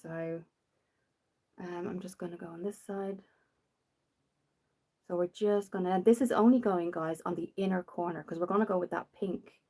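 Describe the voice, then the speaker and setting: A young woman from Dublin with a softened Irish accent, rambling casually while hosting a makeup livestream and answering viewers. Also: on the low side, fairly even intonation, normal speed, pauses often. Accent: Dublin Irish, softened after years in the UK. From Ireland. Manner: chatty and rambling, reads out viewer comments.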